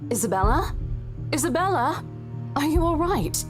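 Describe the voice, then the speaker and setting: A high, lively young woman from a visual novel game, put on by a woman reader, full of concern for her friend. Her pitch moves a lot over clear background music. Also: moderate pace. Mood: worried.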